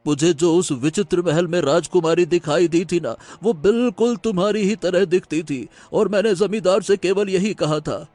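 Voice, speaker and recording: A man's voice: sits high, normal speed, few pauses, darkish tone, no echo, natural voice. Man playing a nervous, stammering villager. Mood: nervous, defensive. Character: frightened, defensive village man, stammering storybook character.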